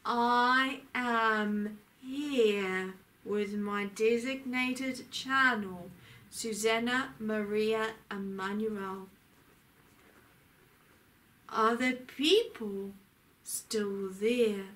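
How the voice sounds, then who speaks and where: A woman preaches a channeled sermon in a high, bright voice. She speaks very slowly and solemnly, drawing each phrase out with long pauses, and declaims like a prophet addressing the faithful. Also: fairly even intonation. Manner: solemn, declamatory, pausing often. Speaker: spiritual channeler.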